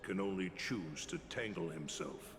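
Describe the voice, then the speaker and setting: An actor playing a brooding, grave older retainer who speaks formally and solemnly in a dramatic video game. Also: male voice, low-pitched, animated, unhurried, vocal fry, heavily acted. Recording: long reverb under game music.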